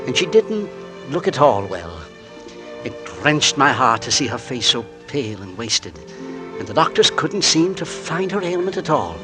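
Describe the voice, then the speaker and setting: An actor playing an anxious, tender husband in a 1940s radio suspense play, with a melodramatic delivery. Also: male voice, medium pitch, lightly acted. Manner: melodramatic, genteel, hesitant in dialogue. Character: anxious, tender husband narrating his own mysterious illness. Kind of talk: 1940s radio suspense acting, first-person narration and dialogue. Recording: vintage radio drama with music underneath.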